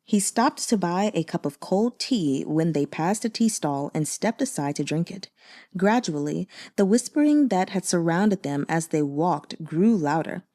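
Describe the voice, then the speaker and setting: A woman's voice: on the low side, lively intonation, unhurried, mellow. A woman reading an audiobook as narrator, telling the story expressively.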